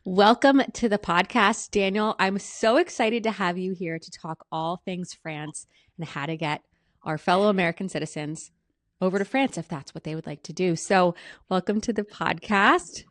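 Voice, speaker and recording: A woman's voice: lowish voice, moderate pace. A woman co-hosting a podcast in an upbeat, welcoming manner. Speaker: podcast co-host. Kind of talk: podcast chat.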